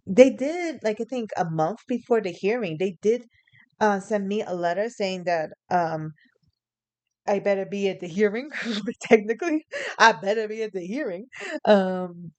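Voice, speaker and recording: A woman's voice: medium pitch, measured pace. A young adult woman from New York telling a personal story in a casual, rambling way, chuckling and correcting herself often. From New York, USA. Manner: rambling, chuckling, many fillers and self-corrections. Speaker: vlogger.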